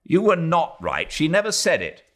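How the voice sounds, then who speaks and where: Pompous colonial official played by a male reader, storybook; English, emphatic, scolding, animated pitch. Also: normal speed. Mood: impatient.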